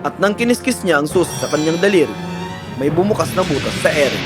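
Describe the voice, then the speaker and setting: A man's voice: on the high side, expressive, quick pace, over music. A man giving scripted narration of a children's fairy tale as its storyteller.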